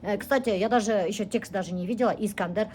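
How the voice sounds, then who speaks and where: A woman's voice: medium pitch, fast, darkish tone, slight background noise. A woman, chatting and joking casually as a vlogger in a recording studio. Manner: chatty, joking, self-mocking. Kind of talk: casual studio vlog chat.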